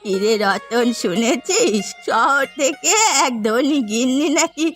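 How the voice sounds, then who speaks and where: A woman puts on a gossipy old village granny for a cartoon tale. The voice is high and drawn out, teasing and nosy, over soft music. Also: expressive, slow. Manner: drawling, teasing. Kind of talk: dubbed cartoon story acting.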